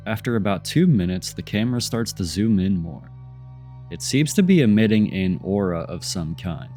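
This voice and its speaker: A man's voice: lowish voice, warm tone. A congested man with a stuffy voice from a cold, hosting and narrating video footage in a skeptical tone that poses questions. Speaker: channel host narrating UFO footage. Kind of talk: voice-over commentary on video clips.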